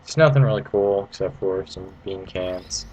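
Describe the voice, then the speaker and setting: A man's voice: sits low, normal speed, a little mellow. A man giving a casual, playful video game walkthrough in an informal, rambling way. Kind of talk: casual gameplay commentary. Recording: noisy amateur home recording.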